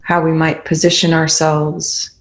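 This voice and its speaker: Slow, even-toned meditation guide. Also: mid-range voice. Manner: gentle instructional phrasing with pauses.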